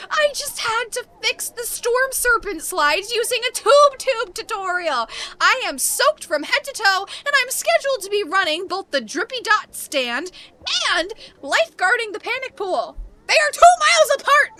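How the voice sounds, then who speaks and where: A woman's voice: very high voice, bright, forceful tone, a little hiss or hum, heavily acted. A woman playing a flustered, overworked young amusement park employee in a comedy audio drama, indignant and stammering as she pleads her case.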